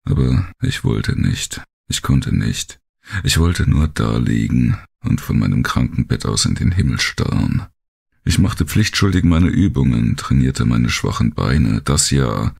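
A man reading a dark first-person thriller audiobook as narrator, with a deep, gravelly, husky voice.

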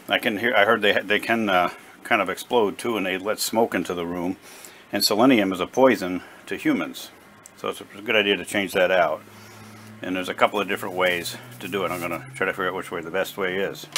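Low-voiced man explaining, noisy background. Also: sharp tone. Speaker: presenter of a radio repair video. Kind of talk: casual hands-on demonstration, unscripted. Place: small indoor workshop at a workbench.

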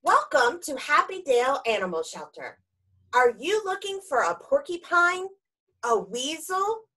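A woman's voice: high voice, big pitch swings, measured pace, sharp tone, exaggerated voice. A woman playing an eager, persuasive animal shelter worker in a children's storybook, with coaxing, exaggerated delivery. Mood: bright, coaxing. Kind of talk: theatrical children's storybook read-aloud.

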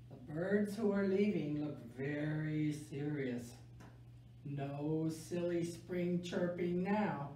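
An older woman reading a picture book aloud to children in a gentle, deliberate way, stressing key words. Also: fairly low pitch. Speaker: library story time reader. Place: small indoor room, seated. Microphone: camera a few metres away. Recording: echoey room, distant mic, faint noise.

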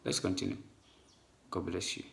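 A man hosting a channel, giving commentary to viewers in a quiet recording. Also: normal speed. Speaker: channel host.

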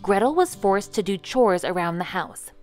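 A woman reading a children's picture book aloud as a storyteller in an animated way, with music behind her. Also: mid-range voice, moderate pace.

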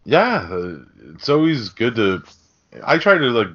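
A man's voice: mid-range voice, very animated, unhurried, fairly bright tone. A man co-hosting a casual film podcast, rambling along in slangy talk with some casual swearing. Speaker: podcast host. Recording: dull, lo-fi podcast audio.

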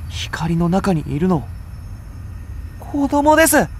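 An actor playing a gentle, patient young male nursery teacher, speaking in a soft, soothing way. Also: high-pitched, very animated, takes it slowly, slight background noise, exaggerated voice. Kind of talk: anime voice drama acting.

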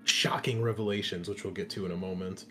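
A man's voice: low voice, fairly even intonation, fast, pushed and bright, faint music behind. A man hosting a tabletop game in casual chat, joking and swearing freely as game master.

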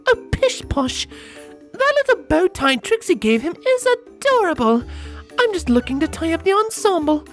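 A man's voice: very high-pitched, big pitch swings, normal speed, a bit bright, faint music behind, voice pushed up, full character voice. A man voicing a prissy, fashion-minded female pony in a dainty, affected manner. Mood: airy, coaxing.

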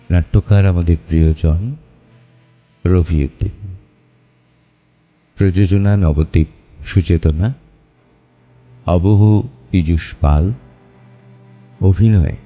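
A man's voice: low-pitched, warm tone, lo-fi sound. A man giving a formal closing announcement for an audio play, as the announcer of its credits.

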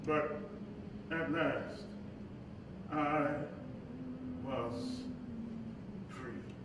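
An actor playing a solemn, earnest civil rights preacher in a staged play reading, with slow, drawn-out oratory. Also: male voice. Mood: solemn, grave. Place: indoor hall at a podium. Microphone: boom-stand microphone at podium. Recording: echoey hall, distant, faint rumbling noise.

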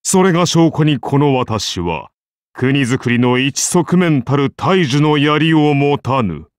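A majestic founder-king of Rome turned god, declaims in archaic, solemn phrases for an anime game. His benevolent voice moves slowly and sweeps widely in pitch, in a close, dry recording. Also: animated, smooth, dry recording. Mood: solemn, grand. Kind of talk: anime game character voice lines.

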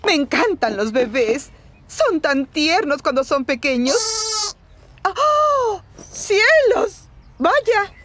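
An actress with a Latin American Spanish accent playing a gushing, theatrical middle-aged shopkeeper in a children's cartoon dub. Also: female voice, extremely high pitch, sing-song, slow pace, a bit bright, heavily acted.

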